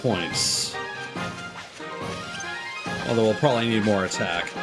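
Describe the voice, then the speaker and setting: A man's voice: fairly low pitch, slow pace. A man giving casual video game commentary as a live streamer, full of joking, playful asides. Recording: game music behind the voice. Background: video game music.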